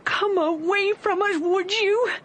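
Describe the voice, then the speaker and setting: A woman voicing a fussing, motherly woman friend who coaxes and frets in a high, lively voice. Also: takes it slowly, slight background noise, full character voice. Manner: fussing, coaxing.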